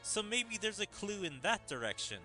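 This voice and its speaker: A man voicing a friendly, easily flustered young slime hero in a video game, earnest and expressive. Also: high-pitched, moderate pace, over music. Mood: puzzled. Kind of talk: reading video game dialogue aloud.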